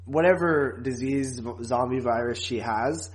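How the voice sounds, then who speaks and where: A man's voice: medium pitch, a little slow, poor audio. A man co-hosting a podcast, recapping a TV series in casual, rambling, informal talk. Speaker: podcast co-host.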